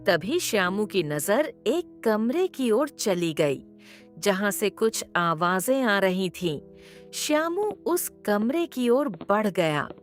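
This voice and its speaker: Slow, expressive woman narrator. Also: mid-range voice, faint music behind. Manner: expressive, measured storytelling. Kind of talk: scripted storytelling narration.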